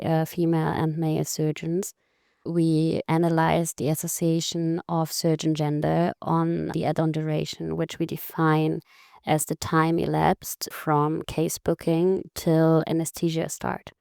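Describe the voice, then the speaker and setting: A woman's voice: sits low, vocal fry, a little mellow, clean tone, no echo, clean studio sound. A young woman, a medical student carefully explaining her research in an interview. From Germany. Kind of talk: interview answers.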